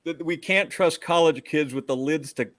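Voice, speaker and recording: An American man co-hosting a sports show, telling stories in a casual, halting way and often interrupting himself. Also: fairly high pitch, fairly bright tone, dry recording, clean studio sound. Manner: conversational, halting, self-interrupting storytelling.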